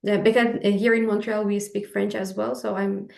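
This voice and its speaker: A woman's voice: mid-range voice, flat delivery, normal speed, vocal fry, roomy sound. A woman from Iran, speaking earnestly and hesitantly with many fillers as a panel guest. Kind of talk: casual conversation.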